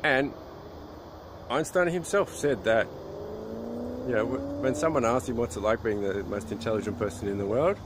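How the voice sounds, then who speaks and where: A man's voice: mid-range voice, normal speed, pauses often. A man giving a rambling, informal monologue in an opinionated, scornful manner, often posing rhetorical questions. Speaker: vlogger on alternative health. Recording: loud outdoor urban traffic noise.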